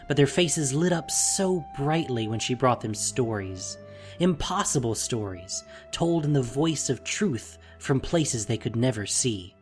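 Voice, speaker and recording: A narrator reading scripted fantasy drama in an expressive, deliberate storytelling manner. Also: mid-range voice. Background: faint music.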